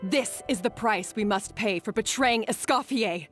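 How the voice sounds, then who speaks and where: A woman's voice: medium pitch, measured pace, a bit bright, lightly acted. An actress playing a bitter, remorseful but defiant young female chef in a video game, speaking with dramatic emotion. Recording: game dialogue over background music.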